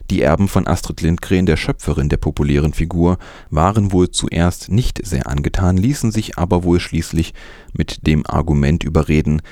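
A man's voice: very deep voice, dark tone, no room sound, studio quality. A man presenting scripted news as a narrator.

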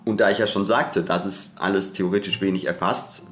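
Male podcast co-host, lowish pitch, academic and searching, self-correcting, echoey room. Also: steady tone, fairly quick. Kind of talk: reflective podcast discussion. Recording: roomy echo, background guitar music.

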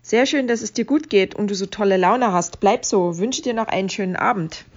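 A woman's voice: medium pitch, fairly quick, natural voice. A woman playing a busy working mother's online comments in a radio collage, on a dull home recording. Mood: friendly.

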